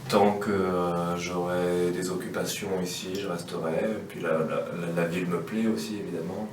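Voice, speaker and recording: A French man in his twenties, a musician, answering interview questions slowly and hesitantly. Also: sits low, level tone. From France. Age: 20s. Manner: slow, hesitant. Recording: roomy, distant, faint noise.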